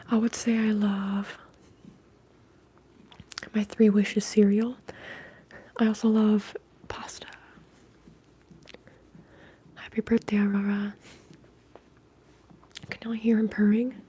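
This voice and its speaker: A woman speaks in a very breathy, whispery hush with little rise and fall in pitch. She is hosting ASMR and chats casually and softly, as if to viewers on a livestream. The tone stays muted and gentle on the ear. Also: flat delivery. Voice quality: soft-spoken, breathy, whispery. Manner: hushed, unhurried casual talk. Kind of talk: ASMR, chatting with live viewers.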